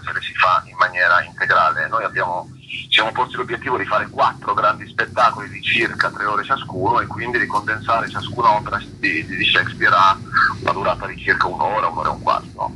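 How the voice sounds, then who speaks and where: Man, lowish pitch, very sharp bright tone, thoughtful interview answers, word-searching pauses. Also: a little hiss or hum, rough recording. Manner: articulate, reflective, searches for words with pauses and repetitions. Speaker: theatre actor and director being interviewed. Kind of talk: interview talk about a theatre production.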